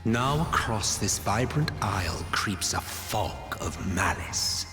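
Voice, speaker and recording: An actor playing a world-weary, sardonic ancient villain, narrating a game cutscene in a deliberate, theatrical manner. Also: male voice, on the low side, animated, fairly bright tone. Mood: ominous. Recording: echoey hall reverb with music behind. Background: music.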